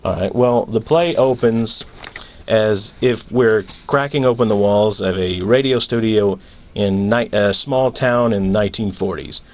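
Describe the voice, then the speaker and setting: A man's voice: on the low side, measured pace, a little hiss or hum. A man talking as a guest playwright in a casual radio interview.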